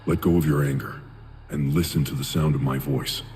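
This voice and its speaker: Calm stern training master, bottomless low voice, commanding, game cinematic, long reverberant tail. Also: male voice, a little fast. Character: stern, calm martial mentor instructing a trainee. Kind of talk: video game cinematic acting. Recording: long hall reverb, faint noise.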